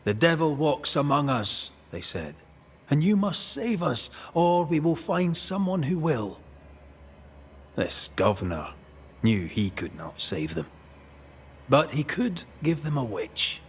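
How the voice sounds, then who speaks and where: An actor playing a weary, blunt ghost banisher who speaks in a measured, accusing way. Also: male voice, a little high, very animated, a little hiss or hum, full character voice. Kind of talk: video game cutscene acting. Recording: long reverb under game music.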